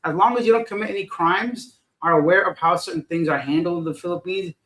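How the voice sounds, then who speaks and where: A man's voice: high-pitched, fast talker, a bit bright, poor audio. A middle-aged man hosting a casual livestream, reading viewers' comments aloud and answering them in a rambling, self-deprecating way. He sounds tired and unfocused. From Afghanistan. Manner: rambling, loses his train of thought, self-deprecating. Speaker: livestream host reading chat. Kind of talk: casual live chat.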